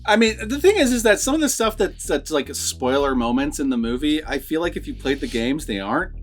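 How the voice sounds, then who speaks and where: A man with a slightly high, very expressive voice gives a casual movie review. He rambles and repeats himself, swearing freely, over faint music. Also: a little fast. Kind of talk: casual, profane film review chat.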